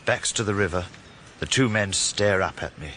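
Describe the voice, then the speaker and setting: A man's voice: low voice, moderate pace, pushed and bright, a little hiss or hum, rough recording. An actor playing a vengeful working-class man with a London accent, intense and poetic, in a first-person radio drama. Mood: grim narration. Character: brooding, vengeful working-class man narrating his own revenge. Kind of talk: first-person dramatic narration with heated dialogue.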